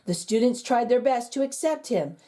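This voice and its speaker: A woman reading a children's picture book aloud in an expressive, sing-song storytelling manner. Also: on the high side, moderate pace. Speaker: children's book reader, channel host.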